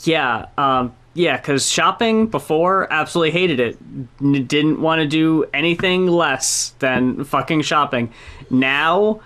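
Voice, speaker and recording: A woman's voice: low voice, measured pace, fairly bright tone. A woman co-hosting a podcast, chatting casually, blunt, swearing freely and laughing often. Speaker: podcast co-host. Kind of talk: casual chat about music.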